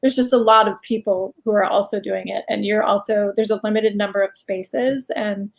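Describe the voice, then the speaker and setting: A woman's voice: mid-range voice, steady tone, moderate pace, vocal fry. A woman speaking as a guest writer and teacher in a casual interview, candid and anecdotal, acting out quotes as she goes. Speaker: guest short story writer and writing teacher.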